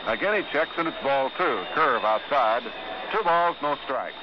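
A man's voice: mid-range voice, animated, unhurried. An American man calling baseball play-by-play in a 1950s radio commentary style. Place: ballpark broadcast booth. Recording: noisy, dull 1950s radio broadcast.

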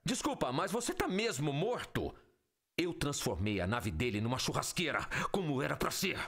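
An actor playing a defiant, sarcastic military major in an audio drama, sardonic and emphatic. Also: male voice, fairly high pitch, sing-song, moderate pace, creaky, a bit bright, slightly rough, exaggerated voice. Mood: defensive, sarcastic.

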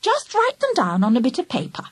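A woman's voice: sits high, wildly swooping pitch, brisk, husky, no echo, voice pushed up, full character voice. A woman voicing a bossy, scheming schoolgirl in a storybook, wheedling and giving orders. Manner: wheedling, commanding.

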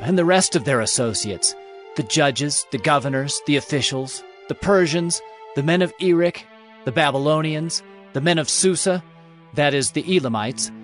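A man plays a Persian provincial official in a biblical audio drama, declaiming an accusing letter formally. The pitch is mid-range, with soft music underneath. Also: lightly acted.

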